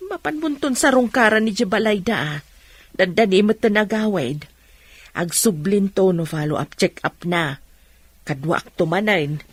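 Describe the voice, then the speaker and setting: A woman playing a gentle, forgiving older mother with an Ilocano accent in a radio drama. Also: medium pitch, moderate pace, slightly rough, no room sound, lightly acted. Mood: patient. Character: kindly, forgiving older mother and younger sister.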